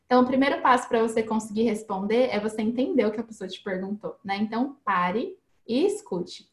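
Chatty woman teacher, fairly high voice. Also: animated, brisk, reverberant. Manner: chatty, explains with everyday examples, frequent tag questions. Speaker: English teacher guest. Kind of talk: casual interview advice.

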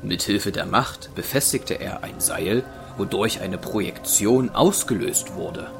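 An actor as the sober narrator of an adventure audio drama, reading scripted narration. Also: male voice, fairly low pitch, slow, gravelly, music in the background. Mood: sober, suspenseful.

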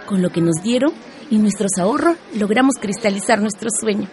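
A woman's voice: medium pitch, animated, fairly quick, a little mellow, some chatter behind, natural voice. An actress with a Peruvian accent playing a proud, industrious middle-aged mother and shopkeeper in a radio soap. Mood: proud, happy. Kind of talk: educational radio soap acting.

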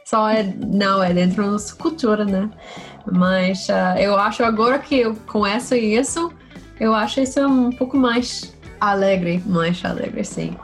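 A Canadian woman guest on a casual podcast, speaking Portuguese as a second language with a slow, halting manner. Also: mid-range voice, faint music behind. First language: English.

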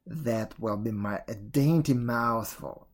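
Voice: mid-range voice, a little slow, vocal fry, slightly rough, full character voice. A storyteller playing a wicked, very old witch in a fairy tale, with a commanding, mocking manner. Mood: gloating muttering. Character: wicked old witch, feigning kindness, cruel, bossy.

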